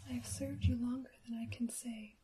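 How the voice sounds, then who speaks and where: A woman voicing an ancient, neglected birch tree from a storybook in a breathy, reproachful whisper. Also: a little high, fairly even intonation, normal speed, background noise, natural voice.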